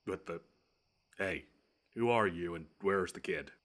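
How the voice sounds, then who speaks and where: Man playing monster under the bed, low-pitched, haughty, taunting, theatrical menace, touchy, audio drama roleplay. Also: a little slow, a bit bright. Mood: startled, demanding. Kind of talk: scripted one-sided audio roleplay.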